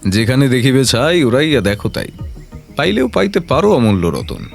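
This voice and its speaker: An actor playing a self-assured detective in an audio drama, measured and confident, kindly but commanding. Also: male voice, medium pitch, sing-song, vocal fry, faint music behind. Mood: calm, assured.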